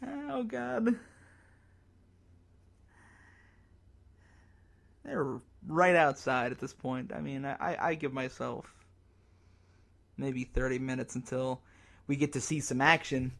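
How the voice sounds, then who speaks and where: An actor playing a bitter, despairing emergency radio broadcaster in a zombie apocalypse drama, halting, sardonic and self-berating in his monologue. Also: medium pitch, lively intonation, gravelly, a bit bright. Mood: quiet, resigned dread. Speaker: radio announcer.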